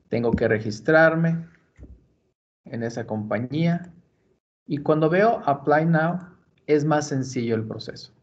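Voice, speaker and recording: A man from Mexico patiently explaining computer steps in a screen-recorded library tutorial. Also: mid-range voice. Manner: slow, patient, step-by-step explaining. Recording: dull lo-fi screencast audio.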